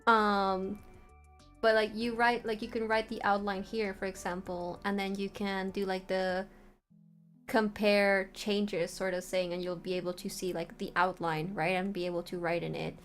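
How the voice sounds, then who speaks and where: A woman streamer talks through a software tutorial with mid-range pitch. Her delivery is informal and meandering, like a casual livestream walkthrough. Faint music plays behind her voice. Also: level tone, moderate pace.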